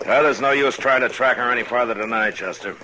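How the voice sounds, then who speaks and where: An actor playing a stern, steady western marshal in an old-time radio drama, terse and measured in his commands and narration. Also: medium pitch, close to an everyday voice. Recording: vintage radio drama with music bed.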